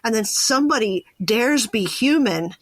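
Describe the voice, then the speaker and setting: A woman's voice: on the high side, lively intonation, moderate pace, dry recording. A woman hosting a podcast in a casual, reflective conversation, speaking informally and thinking aloud from personal experience. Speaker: podcast host.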